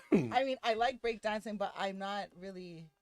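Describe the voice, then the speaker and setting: A woman's voice: big pitch swings, takes it slowly, a little mellow, dry recording. A woman answers interview questions as a guest hip hop artist. She sounds easygoing and self-assured. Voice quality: deep voice.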